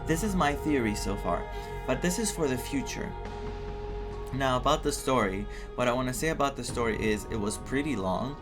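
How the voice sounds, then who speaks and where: A young man from Greece comments casually on a game he is streaming. He rambles and thinks aloud in a mid-pitched voice, with clear music playing behind. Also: lively intonation, moderate pace. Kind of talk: casual playthrough commentary, reading dialogue aloud.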